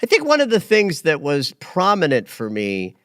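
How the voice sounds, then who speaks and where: A man in his late fifties hosting an astrology podcast, talking casually and expressively, rising to emphatic highs and dropping into quiet asides. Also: huge pitch swings, moderate pace, no echo, studio quality. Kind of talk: casual conversational chat.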